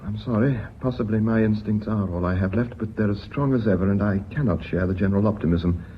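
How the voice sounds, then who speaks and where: A man's voice: on the low side, fast talker, lightly acted. An actor playing a shrewd, stubborn British company man in a radio drama, guarded and insistent. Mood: stubborn, earnest. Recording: dull radio recording, hiss, long reverb.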